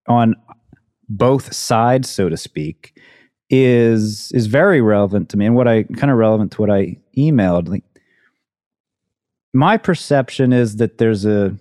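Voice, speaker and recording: A man's voice: mid-range voice, a little slow, darkish tone, no echo, studio quality. A man in his thirties hosting a conversation, speaking in a thoughtful, hesitant way and searching for words. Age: 30s. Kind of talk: casual conversation.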